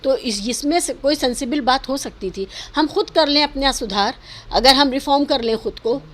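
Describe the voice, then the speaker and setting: Woman, notably high voice. Interview guest arguing social and political points earnestly, with English phrases mixed in. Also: normal speed. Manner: emphatic, argumentative, mixes in English words and phrases. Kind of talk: earnest opinion interview, spontaneous speech.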